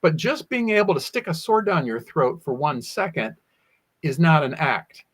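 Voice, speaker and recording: Man, slightly high voice, talkative interview guest, anecdotal storytelling, quotes himself. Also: sing-song, moderate pace, fairly bright tone, slightly rough. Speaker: guest, sword swallower.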